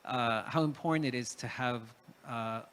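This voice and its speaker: A man's voice: mid-range voice, moderate pace, fairly bright tone, slight background noise. A man from Peru speaking as a panelist in a reflective talk, hesitant, with many fillers and restarts.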